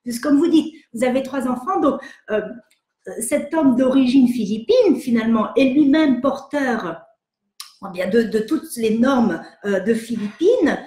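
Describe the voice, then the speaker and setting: A woman psychologist from France hosting an online session, answering viewer questions in a hesitant, gentle way with many fillers and restarts. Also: on the high side, expressive, measured pace, echoey room. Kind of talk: live webinar, reading and answering viewer questions.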